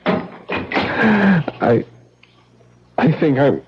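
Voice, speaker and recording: Anguished gothic hero, drained and halting, dragging pace, muffled noisy broadcast. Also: male voice, fairly high pitch, very animated, heavily acted. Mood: faint, shaken. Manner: halting, faltering. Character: shaken, faint vampire hunter, dutiful, anguished. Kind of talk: 1970s radio drama acting. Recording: muffled radio broadcast with clear noise.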